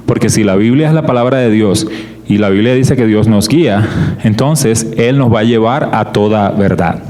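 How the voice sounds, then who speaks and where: A middle-aged man with a Colombian coastal Spanish accent speaks as a guest at a church service. His voice sits at an ordinary pitch, and his delivery is conversational and unhurried, with pauses and small restarts as he explains his ministry. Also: a little mellow. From Barranquilla, Colombia. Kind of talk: church talk.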